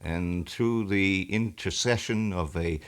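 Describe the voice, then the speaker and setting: Deep-voiced middle-aged man, reflective. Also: slow pace, clear, resonant tone. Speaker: radio station manager and talk show host. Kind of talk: casual radio interview. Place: small radio station studio.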